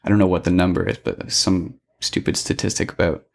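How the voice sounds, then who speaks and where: A man's voice: low-pitched, sing-song, brisk, vocal fry. A man hosting a podcast, chatting casually and teasing his guest with dry jokes. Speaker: podcast host.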